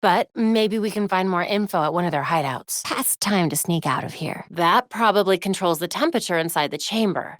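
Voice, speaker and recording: A woman voicing a young crime-fighting superheroine in a video game, with an American accent and a wry, crisp, determined delivery. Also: mid-range voice, dry recording, studio quality, natural voice. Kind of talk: scripted video game voice lines. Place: recording studio.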